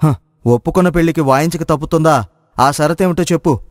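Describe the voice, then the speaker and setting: A naive village cowherd acted by a man with a fairly high male voice. He speaks in excitable exclamations and questions, as in a moral story. A long reverb hangs on the recording. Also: fairly quick, clean studio sound, natural voice. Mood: puzzled, eager. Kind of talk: Telugu moral story voice acting.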